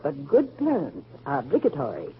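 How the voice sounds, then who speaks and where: A woman's voice: sits low, huge pitch swings, moderate pace. An actress playing a moralizing older mother of a grown family in 1940s radio drama. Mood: earnest. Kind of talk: 1940s American radio acting. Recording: muffled, noisy 1940s radio recording with hum.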